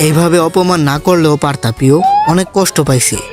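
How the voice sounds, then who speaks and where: Guy doing old anime villain, highish voice thru voice changer, boastful n teasing, music playing. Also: fairly quick. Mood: wounded, threatening. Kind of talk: comic amateur anime parody dub.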